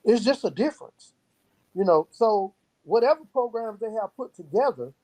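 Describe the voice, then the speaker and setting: Mellow-voiced man speaking with earnest conviction. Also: high voice, expressive, normal speed, low-quality recording. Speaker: guest, youth program founder. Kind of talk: earnest interview talk with storytelling.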